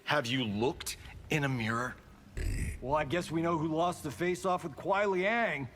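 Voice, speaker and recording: A man's voice: on the high side, a little slow, a bit bright, slight background noise. An actor playing a cocky, wisecracking male Hollywood star in comic fighting-game banter, smug and glib. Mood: smug, teasing.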